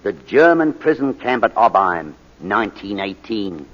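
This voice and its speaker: An actor playing a sly, down-at-heel ex-soldier in a 1940s radio drama, insinuating and falsely deferential. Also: male voice, lowish voice, big pitch swings, unhurried. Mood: coaxing. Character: sly, rough-clad ex-soldier angling for money. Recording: muffled, reverberant 1940s radio recording.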